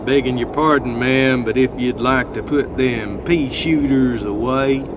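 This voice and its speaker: A man's voice: medium pitch, measured pace, noisy background, natural voice. A man voicing a dim-witted, easygoing cowboy henchman in a video game, with a folksy Southern US drawl. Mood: eager, dim. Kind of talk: video game cutscene voice acting. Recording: music behind the voice. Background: game music.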